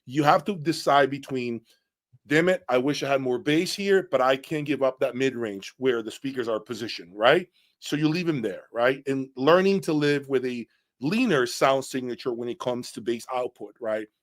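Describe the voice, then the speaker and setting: A man hosts an informal product breakdown in a mid-pitched voice. He speaks bluntly and with emphasis, states opinions firmly, and keeps checking that the listener follows. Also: moderate pace. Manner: blunt, emphatic, often checks with "okay?" and "right?". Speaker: audio reviewer and host.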